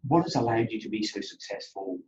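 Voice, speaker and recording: A middle-aged man interviewing a colleague in a conversational, measured and slightly hesitant way. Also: lively intonation, a little mellow. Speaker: managing director conducting the interview. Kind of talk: workplace interview, conversational questions. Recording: dull audio with clear low rumbling noise.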